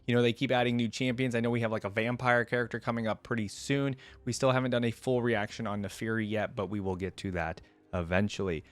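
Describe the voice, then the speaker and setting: A man's voice: mid-range voice, flat delivery, fast, few pauses, no echo. A man hosting a reaction video, talking casually and unscripted to viewers in a rambling, musing way that often poses questions. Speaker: reaction channel host.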